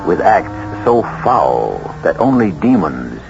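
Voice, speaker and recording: A man hosting a radio mystery drama, narrating in a grave, deliberate, dramatic manner. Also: low-pitched, very animated, gravelly. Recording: noisy, muffled vintage radio broadcast.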